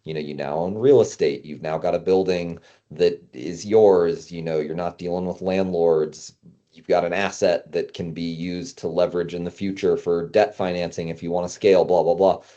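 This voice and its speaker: A man's voice: sits low, steady tone, moderate pace, mellow, muffled recording. A man talking casually as a guest consultant, explaining business matters in loose, conversational language.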